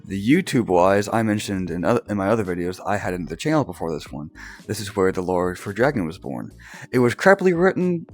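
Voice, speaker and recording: A man's voice: deep voice, very animated, fairly quick. A young man, just woken up and groggy, casually telling a personal story as a vlogger, laughing often and restarting his words. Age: young adult.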